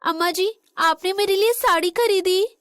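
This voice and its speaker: A woman voicing a gentle, dutiful young Indian daughter-in-law in an animated moral story. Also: extremely high pitch, normal speed, no room sound, clean studio sound. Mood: earnest.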